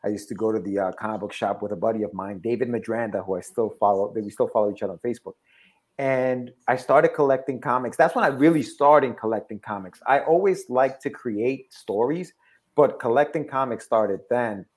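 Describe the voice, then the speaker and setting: A man in his forties, originally from Ecuador, talks in a mid-pitched voice as a guest on a podcast. He tells stories casually and chattily, often doubling back on a phrase. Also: brisk, a little mellow. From Ecuador, moved to the States at seven. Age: 40s. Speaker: podcast guest, comic book creator. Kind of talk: casual conversational storytelling.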